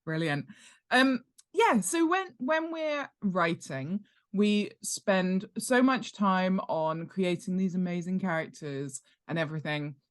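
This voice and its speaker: A woman with a slightly low-pitched voice talks as an expert guest. She explains things conversationally, with plenty of emphasis and enthusiasm, and laughs easily. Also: lively intonation, slow pace. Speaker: interview guest, world-building expert and author.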